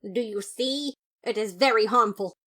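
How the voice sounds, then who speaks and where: A woman's voice: medium pitch, moderate pace, pushed and bright. An upright skeleton brother acted by a woman speaks in an audio story. He is pompous and formal, stressing his words with exasperated indignation. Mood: indignant, scolding.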